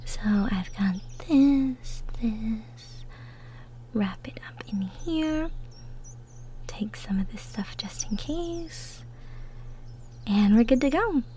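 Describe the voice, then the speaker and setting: A woman playing a cheerful, gentle young woman from the woods in an intimate roleplay audio drama, with a sweet, folksy manner. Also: on the high side, animated, slow pace, pauses often, slight background noise. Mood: busy, murmuring.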